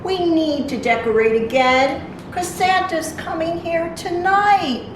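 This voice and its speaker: A woman's voice: very high-pitched, slow pace, voice pushed up. A woman voicing a dismayed, earnest young boy from a storybook in a plaintive way, in a very echoey room. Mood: dismayed, worried. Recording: very echoey room, distant mic, noisy.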